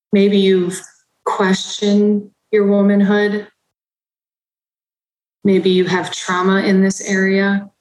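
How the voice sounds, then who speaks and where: Female meditation guide, slow soothing delivery, flat even tone, echoey room. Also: medium pitch.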